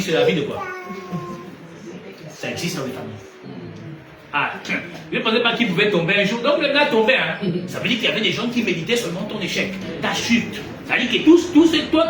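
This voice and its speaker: A man preaching an animated sermon, his voice set a little high. He swings from loud emphatic peaks to low, quiet asides. He sounds distant from the mic, in a roomy space full of echo, with noticeable background noise. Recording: echoey room from far off, noisy ambience.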